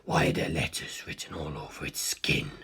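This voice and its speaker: A narrator voicing a cold, inhuman male ultimate being, speaking slowly and breathily with detached curiosity. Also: a bass voice, lively intonation, fairly bright tone, pitched below the performer's own voice, heavily acted. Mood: fascinated. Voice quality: breathy, half-whispered. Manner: slow, deliberate, detached.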